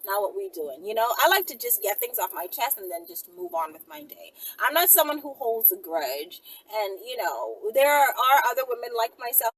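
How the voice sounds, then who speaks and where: A woman's voice: fairly high pitch, very animated, normal speed, few pauses, a bit bright, breathy edge, low-quality recording. A woman hosting a casual question-and-answer session, speaking in a blunt, sassy way. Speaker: host answering viewer questions. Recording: faint background noise and room ambience.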